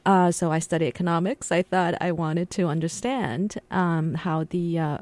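Woman, low voice, halting, reflective, radio studio guest. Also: fairly even intonation, darkish tone, no room sound. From Shanghai, China. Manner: halting, reflective, many pauses and restarts. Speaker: interview guest, translator and interpreter. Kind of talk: casual radio interview.

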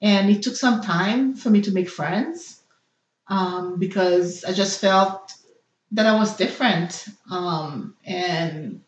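A woman's voice: medium pitch, slow pace, reverberant, dull audio. A young woman from Haiti whose first language is Haitian Creole, speaking as a nurse and interview guest, thoughtful and hesitant, with many fillers. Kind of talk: personal interview.

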